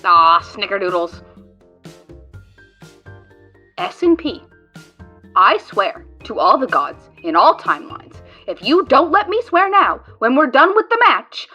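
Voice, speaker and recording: A woman acts out a sharp-tongued, mischievous heroine in an audio drama. Her voice is high and bright, swooping theatrically as she taunts with cocky relish. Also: highs cut off, heavily acted. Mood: exasperated, threatening. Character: cocky, mischievous female card-playing adventurer, sharp-tongued.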